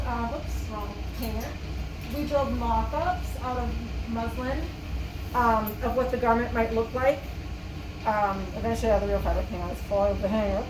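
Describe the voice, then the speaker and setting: A woman with a slightly high voice talks as an interviewed costume designer. Her delivery is casual and explanatory. The recording is echoey, with clear background noise. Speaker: costume designer and theater professor being interviewed. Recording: echoey, noisy room recording.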